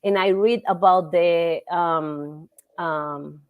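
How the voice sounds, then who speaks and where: A middle-aged woman from Costa Rica with a Costa Rican Spanish accent, a native Spanish speaker talking about her teaching in a casual interview, often pausing to search for words. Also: fairly low pitch, fairly even intonation, phone-quality sound. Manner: warm, searches for words, frequent fillers.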